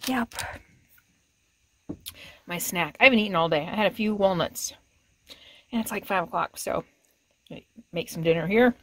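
A woman's voice: mid-range voice, moderate pace, long pauses, no echo. A woman in her fifties vlogging casually from outdoors and inside her RV, chatting and sweetly talking to her dog, recorded on a phone at arm's length. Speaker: RV travel vlogger. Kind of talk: casual vlog chat to camera and dog. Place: outdoors in windy high desert and inside an RV. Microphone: phone camera at arm's length.

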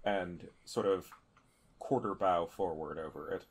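A man with a slightly low voice describes his character's actions in a tabletop game. There is faint noise and clicking in the recording. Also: measured pace.

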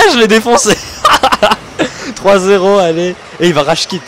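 A young man from Switzerland, commentating his own gameplay excitedly and laughing often. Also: sits high, slow, slight background noise. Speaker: gaming YouTuber.

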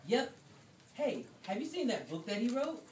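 A woman's voice: a little high, sing-song, normal speed, a little mellow. A woman playing a good-natured, plain-spoken farmer in an amateur puppet show. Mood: admiring, chatty. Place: classroom, performers behind a draped table. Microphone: distant camera. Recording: noisy, echoey classroom from far off.

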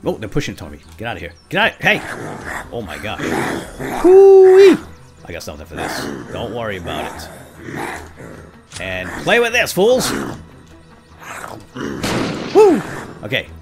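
A man's voice: medium pitch, wildly swooping pitch, unhurried, pauses often, over music. A man hosting a let's play, commentating playfully and exclaiming often as he talks to himself and to viewers. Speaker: gaming video host.

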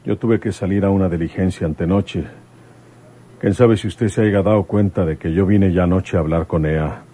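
Sober country father, deep husky voice, folksy, radio drama. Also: moderate pace, mellow. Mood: troubled, courteous. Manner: folksy rural turns of speech. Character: rustic, sober older father of a grown son.

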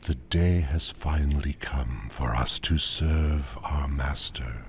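A man's voice: extremely low pitch, takes it slowly, a little hiss or hum. A man playing a sinister hooded cultist in a video game, speaking in an ominous, ceremonial way. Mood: solemn, menacing. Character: sinister, solemn hooded cultist serving a dark master. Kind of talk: video game cutscene acting.

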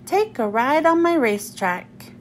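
A woman's voice: high voice, very animated, unhurried, slight background noise. A woman voicing a proud, boisterous little boy in a storybook, with punchy exclamations. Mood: playful. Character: boisterous, proud little brother who loves worms and trucks.

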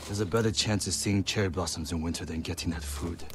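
An actor playing a cocky, wry leader of a hungry warrior band in a video game, guarded in manner. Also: male voice, sits low, steady tone, fast, fairly bright tone. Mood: grim, wry. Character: cocky, wry samurai-era warband leader, loyal but hungry. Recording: game audio with ambient noise behind.

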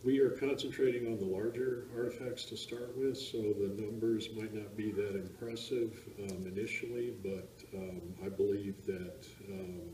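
A middle-aged man, a project supervisor, speaking in an interview with many fillers and pauses, recorded in a large warehouse. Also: fairly low pitch, steady tone, dark tone, slight background noise. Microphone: camera mic at a distance. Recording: echoey warehouse, mic far off.

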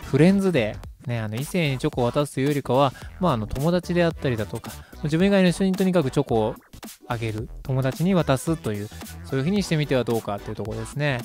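A man's voice: medium pitch, expressive, quick pace, a little mellow. A man of about thirty hosting a casual radio talk, summing up and commenting in a polite, chatty way. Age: around 30. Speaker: radio host. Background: background music.

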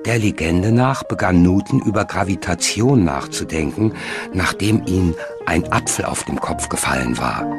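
A man narrating a documentary from a script in a measured, articulate way. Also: low voice.

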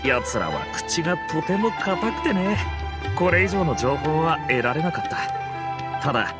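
A man playing a level-headed, shrewd young male desert mercenary in an anime game, casual and measured in wording. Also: fairly high pitch, very animated. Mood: serious, analytical. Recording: music behind the voice.

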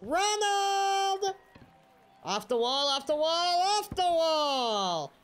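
A young man on a headset talks his way through a baseball game, bursting into shouts at every play. His voice is a little stuffed up, and game music plays clearly underneath. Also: extremely high pitch, extremely slow. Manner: excitable, yelling at the game, slangy "bro". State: congested, slight cough. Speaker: streamer. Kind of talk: casual gameplay commentary. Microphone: headset mic.

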